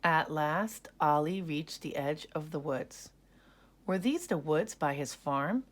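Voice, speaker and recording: A woman narrating a children's story in an expressive read-aloud style. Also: sits low, takes it slowly.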